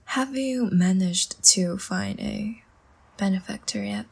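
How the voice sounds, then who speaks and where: Slow, breathy, seductive vampire woman played by an actress. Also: medium pitch, exaggerated voice. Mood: measured, persuasive. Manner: soft, coaxing, slow and teasing, often breathy near-whisper. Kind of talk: intimate roleplay audio drama.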